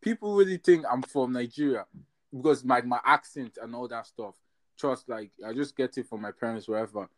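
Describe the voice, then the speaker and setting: A man's voice: mid-range voice, brisk. A man from Ireland in a heated casual discussion, rambling and often checking that he is understood. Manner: rambling, repeats "you get what I mean".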